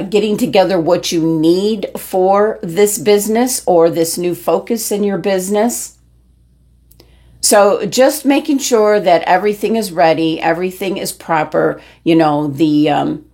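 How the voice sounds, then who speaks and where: Tarot-reading woman, fading voice. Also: fairly low pitch. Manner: gentle, meandering, many ums. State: losing her voice. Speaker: tarot reader. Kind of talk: weekly horoscope tarot reading.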